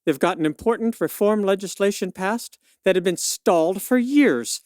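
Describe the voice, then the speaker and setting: A woman's voice: medium pitch, animated, moderate pace, a little mellow, dry recording, natural voice. A woman acts out a suffragist lawyer from 1911 in a radio play. She debates in an articulate, indignant voice, firm and exact in her arguments. The recording is close and dry. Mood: firm, assertive.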